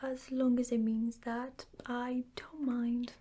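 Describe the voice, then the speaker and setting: A woman voicing a humble, slow-witted, wistful bear in a children's bedtime story, with a hesitant, stammering manner. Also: on the high side, level tone, warm tone, husky, pitched above the performer's own voice. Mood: puzzled.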